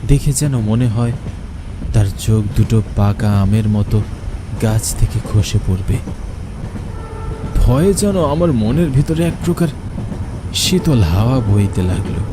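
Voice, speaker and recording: Man, dramatic horror storytelling, expressive, widely swinging pitch, loud train sounds behind. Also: a little slow, pauses often, noisy background. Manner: expressive, theatrical. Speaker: narrator and lead character. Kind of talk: dramatic first-person horror story narration.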